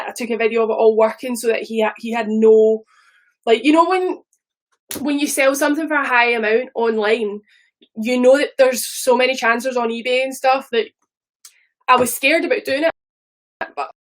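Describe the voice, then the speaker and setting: A woman in her thirties with a Scottish accent, talking loosely and rambling to her viewers on a live stream. Her voice sits a little high, and she swears now and then between asides. She sounds like she is on a phone or webcam mic. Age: 30s. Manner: chatty, rambling, swears casually. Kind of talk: casual live stream chat.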